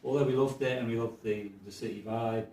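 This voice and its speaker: A middle-aged man with a Liverpool accent, a podcast guest telling his story in a relaxed, rambling, thoughtful way. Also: medium pitch, fairly quick. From Merseyside, England. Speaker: podcast guest, arts director. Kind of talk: casual conversational storytelling. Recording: echoey room, mic at a distance, faint noise.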